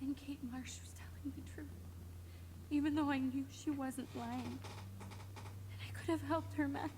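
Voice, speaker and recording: A woman's voice: high-pitched, fairly even intonation, a little slow, warm tone, a little hiss or hum, rough recording. An actress playing a terrified, helpless eighteen-year-old girl in a video game, pleading and tearful. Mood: guilty, distraught. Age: young adult. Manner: pleading, self-blaming. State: tearful, crying.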